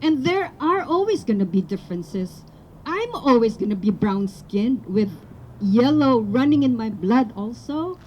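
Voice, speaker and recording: High-pitched, earnest woman, first language Tagalog, from the Philippines, speaking outdoors at a rally, faint ambient noise. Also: sing-song, unhurried, a little mellow, muffled recording. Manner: earnest, building to emphatic appeals. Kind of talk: public speech at a rally.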